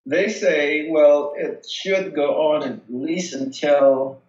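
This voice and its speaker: An American man giving a casual phone interview as a local resident, halting as he searches for words. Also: a little high, a little mellow. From United States. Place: remote phone call. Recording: dull, echoey phone call.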